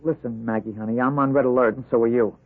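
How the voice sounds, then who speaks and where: An actor playing a loyal, eager, flustered aide in an old-time radio drama, halting, slangy and earnest. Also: male voice, mid-range voice, steady tone, natural voice. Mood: uneasy, urgent. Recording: tinny, noisy old radio recording.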